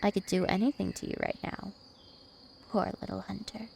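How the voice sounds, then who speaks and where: A woman's voice: mid-range voice, steady tone, normal speed, gravelly, darkish tone, a little hiss or hum. A woman voicing a dominant, taunting, ancient vampire princess in an ASMR roleplay, with haughty, teasing menace. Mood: scornful. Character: two-thousand-year-old vampire princess, female, dominant, taunting, imperious.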